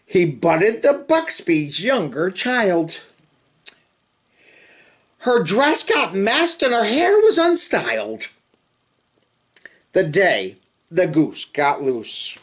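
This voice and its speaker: A man reading a children's picture book aloud in an expressive, sing-song way, with drawn-out phrasing and chatty asides. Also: high-pitched. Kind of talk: animated storybook read-aloud with chatty asides.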